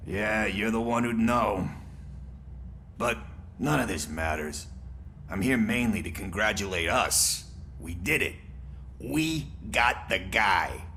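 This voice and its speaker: An actor playing a sarcastic, chatty small-time crook and informant in a video game. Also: male voice, fairly low pitch, lively intonation, slow pace, long pauses, sharp tone, slight background noise, exaggerated voice. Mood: smug, excited.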